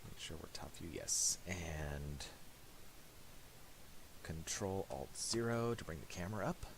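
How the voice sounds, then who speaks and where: A man giving a casual, unscripted software tutorial, halting as he thinks aloud while he works. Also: sits low, animated. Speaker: tutorial host. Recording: hissy home recording with mouse clicks.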